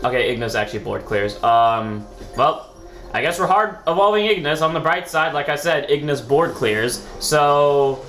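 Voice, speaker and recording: A man's voice: a little high, expressive, normal speed, fairly bright tone. A man with a sore throat who sounds sick, casually rambling through commentary on his own card game match, correcting himself often and swearing now and then. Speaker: gaming video host. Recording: echoey room, faint background music.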